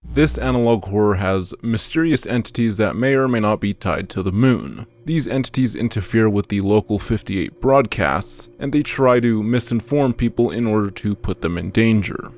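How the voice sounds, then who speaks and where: A man's voice: on the low side, animated, moderate pace, few pauses, darkish tone. A man reading a scripted explainer narration as a video narrator.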